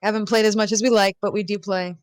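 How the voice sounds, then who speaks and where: A woman's voice: medium pitch, fairly even intonation, fast talker, smooth, no echo, studio quality. A woman chatting casually as a guest, explaining things in an informal way. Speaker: talk show guest, dog expert. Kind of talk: casual chat.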